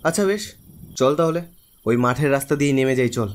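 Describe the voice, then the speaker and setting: A man's voice: mid-range voice, expressive, quick pace, close to an everyday voice. A man voicing a hesitant young man in a horror cartoon, speaking colloquially. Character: hesitant young man walking with his friend. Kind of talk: Bengali cartoon horror story voice acting.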